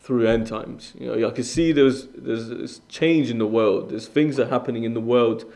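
A man's voice: mid-range voice, fairly even intonation, normal speed, gravelly, a little mellow. A young man from Iran speaking as a guest preacher, sharing a personal testimony in an earnest, conversational way with frequent fillers and restarts.

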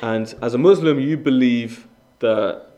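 A man's voice: medium pitch, unhurried, mellow. A young man from Iran addresses a congregation as a guest preacher. His testimony is earnest and conversational, and his mid-range voice stumbles into restarts and fillers as he speaks. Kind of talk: sermon with personal testimony.

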